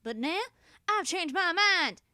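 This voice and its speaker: A woman's voice: extremely high pitch, expressive, takes it slowly, bright, forceful tone, no echo, clean studio sound, full character voice. A woman voicing a sassy, hot-tempered, sarcastic teenage goth girl with folksy slang in a cartoon parody dub. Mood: fed up.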